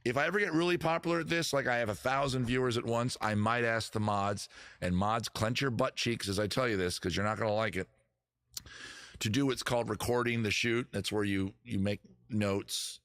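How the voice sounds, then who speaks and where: A man's voice: mid-range voice, brisk, fairly bright tone, dry recording, clean studio sound. A man hosting a live music and chat show, rambling casually to viewers in a self-deprecating way and swearing freely. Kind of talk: casual livestream chat with viewers, some improvised singing. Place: at home in the backyard.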